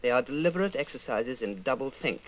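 A man playing an earnest, resolute rebel with a deliberate delivery in an old-time radio drama. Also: medium pitch, expressive, lightly acted, rough recording. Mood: reading solemnly. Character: earnest, resolute male rebel against the Party.

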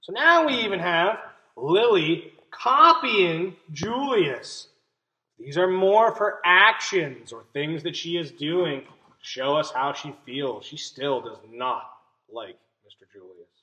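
Lively, slow-paced young man reading aloud. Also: fairly high pitch, lo-fi sound. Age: young adult. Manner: expressive, explanatory, asks listeners questions. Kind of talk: children's picture book read-aloud with commentary. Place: small indoor room. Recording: roomy, echoey room sound.

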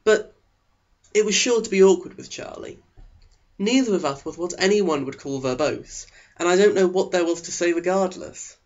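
Sarcastic man reading, higher-pitched. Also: moderate pace. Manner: sarcastic, melodramatic. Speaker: audiobook reader. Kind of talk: sarcastic scripted narration. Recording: dull, lo-fi sound.

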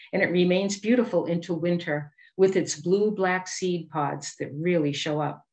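Female presenter, middle-aged, Boston accent, lowish voice, measured scripted delivery for an educational slide talk on video call. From Boston area, United States. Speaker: master gardener giving a presentation.

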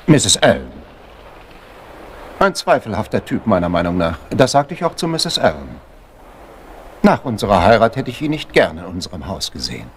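An actor in a German crime radio play playing a self-important member of parliament, pompous and easily affronted. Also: male voice, fairly low pitch, sing-song, moderate pace, long pauses, a little hiss or hum. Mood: dismissive. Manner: pompous, indignant, hesitant.

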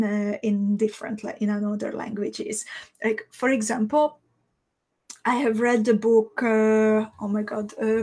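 Slow-talking Hungarian-accented woman, higher voice. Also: creaky, darkish tone, breathy edge. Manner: halting, thinking aloud. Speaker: host of a live beading tutorial. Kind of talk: casual livestream chat. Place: small indoor craft room.

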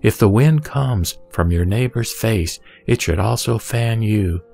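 Man, low-pitched gravelly voice, scripture recitation, measured didactic phrasing, music underneath. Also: lively intonation, a little mellow. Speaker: sutra reciter.

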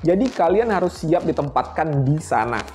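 A man's voice: fairly high pitch, sing-song, normal speed, a little mellow. A man, explaining things conversationally to camera as a host and addressing viewers directly. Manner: conversational, addresses viewers as friends with rhetorical questions. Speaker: air traffic controller and channel host. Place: small indoor room, studio-like set. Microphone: clip-on lapel mic.

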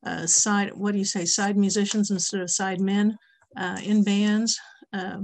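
A woman's voice: medium pitch, little pitch movement, measured pace, darkish tone. Chatty middle-aged woman on a video call. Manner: chatty, laughs easily, many fillers. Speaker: panelist, bass player. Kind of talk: casual panel talk. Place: home office. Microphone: webcam on a video call.

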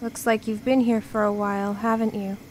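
An actress playing a kind, wry spirit woman, Death's emissary, in a radio play, gentle and understated. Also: mid-range voice, little pitch movement, moderate pace, a little hiss or hum, natural voice. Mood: gentle, reassuring.

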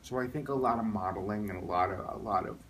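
A middle-aged man with an American accent gives casual interview answers, haltingly, repeating words as he thinks. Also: fairly low pitch, fairly bright tone, a little hiss or hum. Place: classroom or meeting room. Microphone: phone camera a few metres away. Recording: distant phone recording with music over it.

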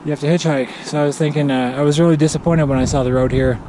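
A man's voice: on the high side, fairly even intonation, quick pace. A man skiing alone in the backcountry, talking his situation through to his own camera in a hesitant, self-critical way, laughing nervously now and then. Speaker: backcountry skier filming himself. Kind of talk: thinking aloud to camera. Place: snowy mountain forest outdoors. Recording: outdoor wind and camera noise.

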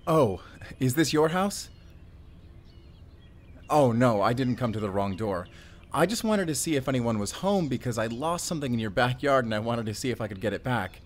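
A mid-pitched man's voice , an actor's, as an awkward, friendly single dad in an audio roleplay. He rambles nervously and apologises often, sounding like a flustered bird-loving neighbour. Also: animated, normal speed, natural voice. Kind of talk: audio roleplay addressed to the listener.

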